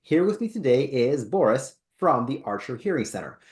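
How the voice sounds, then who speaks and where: A man hosting a podcast interview, speaking in a halting way and often repeating words. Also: mid-range voice, big pitch swings, darkish tone. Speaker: podcast host, personal trainer. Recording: echoey room.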